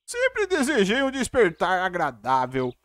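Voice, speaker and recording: A woman with a Brazilian accent giving casual, expressive gameplay commentary and reading dialogue aloud as host. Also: medium pitch, a little slow, no room sound. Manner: expressive, informal.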